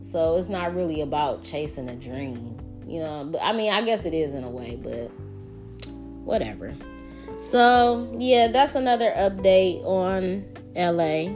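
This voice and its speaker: Profane, candid woman podcaster. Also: fairly low pitch. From Detroit. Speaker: podcast host. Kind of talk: casual solo podcast monologue. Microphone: podcast microphone.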